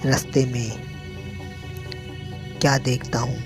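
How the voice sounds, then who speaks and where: A man narrating a scary story in the first person, in short phrases with pauses. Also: mid-range voice, little pitch movement. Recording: prominent music behind the voice.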